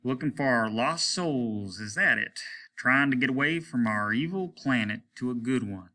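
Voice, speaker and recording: Man acting out a sharp, irritable old space captain. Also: medium pitch, animated, moderate pace. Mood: brooding. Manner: snapping, indignant, barking rhetorical questions. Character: irritable weary aging rocket ship captain, commanding and scornful. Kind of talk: audiobook character voice.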